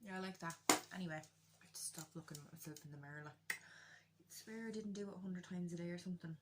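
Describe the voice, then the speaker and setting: A woman's voice: fairly low pitch, steady tone, quick pace, heavy background noise. An Irish woman chatting casually through a makeup tutorial, candid, joking and self-deprecating, with a bit of swearing. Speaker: beauty vlogger doing a makeup tutorial.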